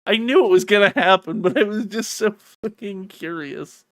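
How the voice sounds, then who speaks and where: An expressive, excitable streamer reading a visual novel aloud in a dramatic way, with casual sweary reactions. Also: mid-range voice, normal speed, no room sound, clean studio sound.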